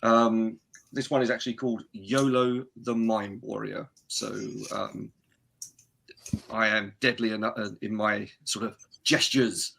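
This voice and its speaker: A man's voice: fairly low pitch, little pitch movement, unhurried, creaky, fairly bright tone. A man who is an author, casually introducing himself as a guest on a panel.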